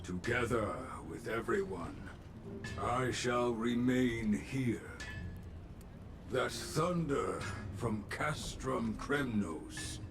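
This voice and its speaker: A man playing a wise old master craftsman in a video game, talking deliberately in inverted, oracular phrases. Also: medium pitch. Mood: solemn. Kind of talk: dramatic video game voice acting. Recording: game dialogue with music behind it.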